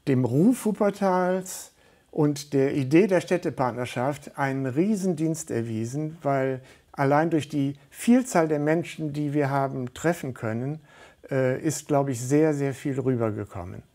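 A man's voice: mid-range voice, a little slow, warm tone. A middle-aged or older man answering interview questions as a studio guest. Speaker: studio guest, volunteer organiser.

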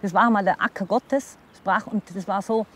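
A woman's voice: mid-range voice, fairly even intonation, moderate pace, a bit bright. A woman from the Austrian Alps speaking standard German with a Walser Alemannic accent, explaining things casually and instructively as a local hiking guide. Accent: Kleinwalsertal Alemannic, speaking standard German. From Kleinwalsertal, Austrian Alps. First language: Walser German dialect. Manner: instructive, often checks with her listener. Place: outdoors on a mountain trail.

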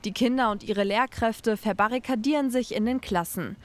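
A woman narrating a local news report in a measured, factual way. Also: on the high side, no room sound.

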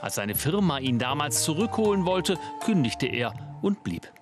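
A man's voice: fairly high pitch, very animated, normal speed. Man narrating over prominent music. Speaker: reporter narrating a TV report. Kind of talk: scripted narration. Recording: voice-over over loud music bed.

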